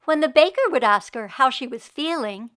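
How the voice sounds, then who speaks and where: A woman narrating a children's storybook expressively, with deliberate dramatic emphasis. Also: high voice, animated, fairly bright tone. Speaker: read-aloud storyteller for children.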